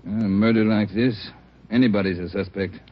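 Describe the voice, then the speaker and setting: An actor playing a sombre, deliberate senior police officer in an old radio crime drama. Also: male voice, on the low side, fairly even intonation, lightly acted. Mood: grim. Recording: muffled old radio recording.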